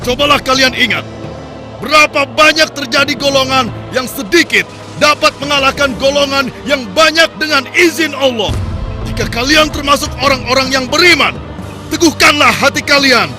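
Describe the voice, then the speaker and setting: A man's voice: extremely high pitch, moderate pace, music in the background. A man playing a devout, rousing army commander in a religious cartoon, solemn and commanding in his declamation. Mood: rousing, earnest. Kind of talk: Indonesian religious cartoon dub.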